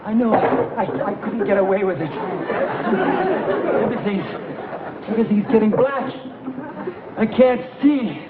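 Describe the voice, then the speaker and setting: High, slow, hammy gangster. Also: male voice, a loud crowd. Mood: hammy dying. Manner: drawn-out, overwrought. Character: melodramatic, hammy gangster sidekick. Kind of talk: 1960s broadcast comedy sketch acting. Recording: tinny echoey old broadcast, loud audience noise.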